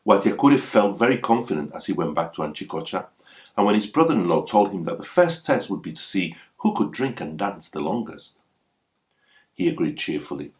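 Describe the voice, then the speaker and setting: A man's voice: sits low, very animated, fairly quick. A man reading a folktale aloud as a scripted storyteller.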